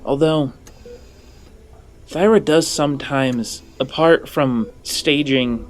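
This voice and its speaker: A man voicing a young orphan boy in a tabletop game, hesitant and halting in his speech. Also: medium pitch, close to an everyday voice. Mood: puzzled, hesitant.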